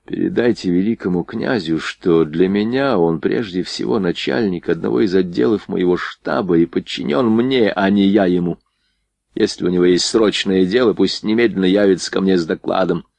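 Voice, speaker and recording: A man's voice: deep voice, normal speed, gravelly, darkish tone, dry recording, clean studio sound. A man voicing a stern, proud naval admiral in an audiobook, authoritative and firm. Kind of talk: audiobook character dialogue.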